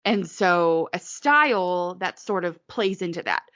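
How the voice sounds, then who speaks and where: A woman's voice: on the low side, expressive, measured pace, fairly bright tone, no echo. A woman being interviewed as an author, answering in a rambling casual way full of "like" and restarts, hiccuping now and then.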